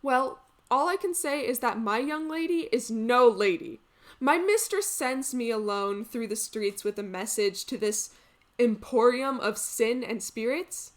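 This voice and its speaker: A woman's voice: fairly high pitch, close to an everyday voice. A woman playing a sassy, exasperated lady's maid in a stage farce, complaining wryly as if to an audience. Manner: wry, complaining, addressing the audience. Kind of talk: theatrical comic monologue.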